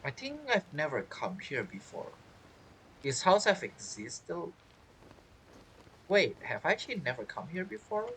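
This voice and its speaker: Female game streamer, low-pitched, very expressive intonation, casual and rambling, reacting aloud. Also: measured pace, long pauses, a little hiss or hum, low-quality recording. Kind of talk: casual let's-play commentary.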